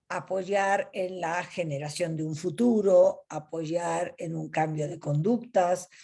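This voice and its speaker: A woman from Argentina with an Argentine accent, leading an online coaching ethics class in a deliberate teaching manner. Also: lowish voice, gravelly, mellow, breathy edge. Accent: Argentine Spanish. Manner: slow, deliberate teaching with pauses.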